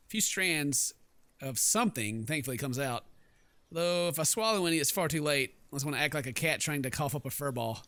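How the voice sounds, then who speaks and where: Male let's play host, slightly high bright voice, jokey rambling commentary and read-aloud narration. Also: lively intonation, a little fast, no echo.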